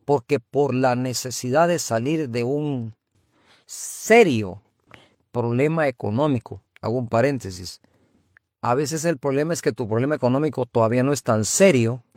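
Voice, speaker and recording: A pastor hosting an interview, interjecting with preachy teaching asides. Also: male voice, mid-range voice, moderate pace, a little mellow, no echo, clean studio sound. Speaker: pastor, host. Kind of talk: interview conversation on faith.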